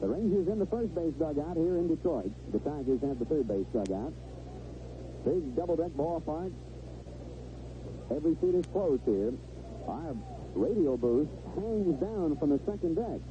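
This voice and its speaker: Male 1970s radio baseball play-by-play man, higher-pitched, clipped phrasing, dull noisy AM sound. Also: sing-song, normal speed. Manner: clipped phrases, describing each play as it happens. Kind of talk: live radio sports commentary. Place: stadium radio booth.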